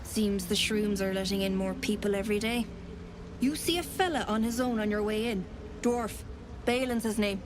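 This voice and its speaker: An actress playing a grumbling, chatty gnome wife in a game, talking quickly and scornfully. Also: female voice, medium pitch, steady tone, noisy background, natural voice.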